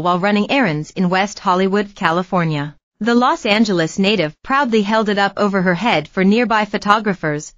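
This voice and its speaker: A woman narrates a celebrity news piece in a steady, even read-aloud style. Her voice sits in a middle pitch. The recording is close and dry, with a slightly dull, muffled tone. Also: dry recording.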